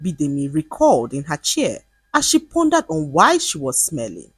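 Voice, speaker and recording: A woman narrating a scripted folktale in an expressive, dramatic storytelling manner. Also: low-pitched, normal speed, husky, no room sound, studio quality.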